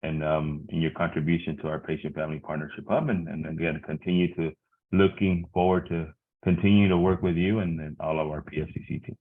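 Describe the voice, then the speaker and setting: Deep-voiced man on a tinny line. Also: level tone. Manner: grateful, with halting restarts. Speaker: host of the hub meeting.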